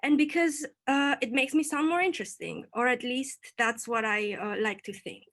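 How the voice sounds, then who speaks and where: A young woman tells a prepared story in a light, playful way. Her voice sits fairly high for a woman, and her delivery is even and practised. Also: normal speed. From Romania. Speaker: PhD student storyteller. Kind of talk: prepared talk read to an online audience.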